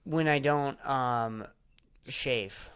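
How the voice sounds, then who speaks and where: A young man talking loosely on a podcast, swearing and circling back through his points with plenty of fillers. His voice sits at a middling pitch. The sound is dry and close to the mic. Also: drawn-out words, a bit bright, clear, resonant tone, no echo. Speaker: podcast co-host. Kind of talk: casual chat.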